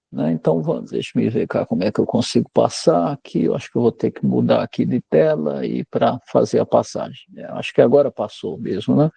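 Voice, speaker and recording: Gravelly, very dark-voiced man from Alagoas. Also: mid-range voice, no room sound. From Alagoas, Brazil. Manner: measured, filler-heavy, courteous. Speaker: neurologist lecturer. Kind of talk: online lecture. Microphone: video call.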